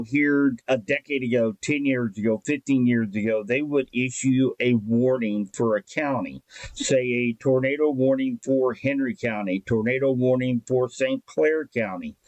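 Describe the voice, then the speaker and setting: A man's voice: mid-range voice, darkish tone, dry recording, poor audio. Folksy American man, close dry sound. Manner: folksy, conversational. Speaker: local radio presenter. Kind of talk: informal explainer and product recommendation.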